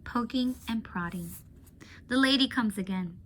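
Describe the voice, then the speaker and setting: A woman teacher reading a children's novel aloud to her students in a slow, deliberate storytelling manner. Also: on the high side, a bit bright, a little hiss or hum.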